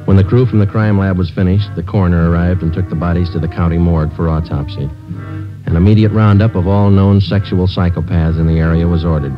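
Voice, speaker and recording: An actor playing a terse, weary police sergeant, narrating a radio crime drama in a clipped, deadpan, matter-of-fact way. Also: male voice, low-pitched, natural voice. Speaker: narrator and lead detective. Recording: dull vintage radio recording with music.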